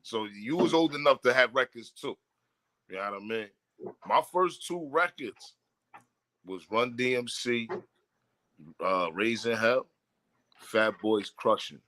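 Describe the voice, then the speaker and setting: A man's voice: mid-range voice, a little slow, pauses often, a bit bright. A man in his forties in casual chat, emphatic and affirming as he reminisces. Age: 40s.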